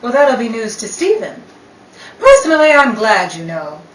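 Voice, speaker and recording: Brazen sardonic mistress, played by a woman, animated 1930s radio delivery, flippant, recorded a little off mic. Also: fairly high pitch, moderate pace. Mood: cool defiance. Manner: dismissive, sardonic. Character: brazen, cynical young mistress, hard and flippant. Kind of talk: 1930s radio play acting. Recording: echoey room, distant mic, faint noise.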